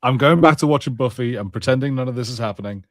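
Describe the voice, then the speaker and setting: A man's voice: mid-range voice, quick pace, no room sound. Hesitant man in his thirties, dry close mic. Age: 30s. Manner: hesitant, stop-start phrasing. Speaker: podcast co-host. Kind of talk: casual podcast banter.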